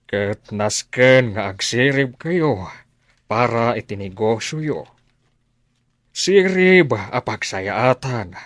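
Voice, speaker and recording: A rich elder patron in an Ilocano radio drama. He counsels slowly and deliberately with an Ilocano accent, his pitch moving widely. The recording is dry and close. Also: animated, no room sound. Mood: advising. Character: wealthy elder benefactor giving measured business advice.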